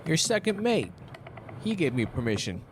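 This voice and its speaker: A cheeky young-at-heart sailor played by a man in an audio drama, with a slightly high, very animated voice. He talks in an offhand, casual way over faint background noise. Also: normal speed. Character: cheeky, casual male sailor needling his superior.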